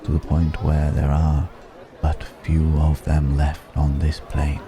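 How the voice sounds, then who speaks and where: A man playing a mysterious, philosophical ancient dragon disguised as a stranger, speaking in an intimate ASMR roleplay with long, pensive pauses. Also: extremely low pitch, completely flat delivery, over music, crowd noise, exaggerated voice. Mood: quietly reproachful. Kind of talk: ASMR roleplay, slow intimate dramatic monologue.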